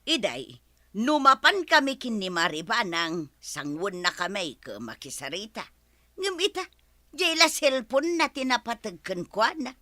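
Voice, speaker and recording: Lively, bright-voiced gossipy village wife. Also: female voice, on the high side, unhurried, no room sound, studio quality, heavily acted. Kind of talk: Ilocano radio comedy drama acting.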